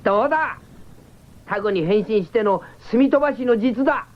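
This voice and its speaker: A woman playing a cocky, comic young shapeshifting cyborg with a cheeky, excitable, theatrical delivery in a 1970s radio drama. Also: a little high, normal speed, lightly acted. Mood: cocky, playful. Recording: dull 1979 radio broadcast.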